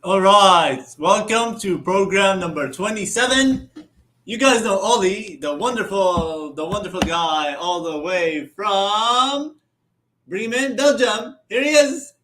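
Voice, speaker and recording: Bright, high-voiced upbeat host. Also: male voice, slow pace. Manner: upbeat, effusive, laughs often. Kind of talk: casual interview show.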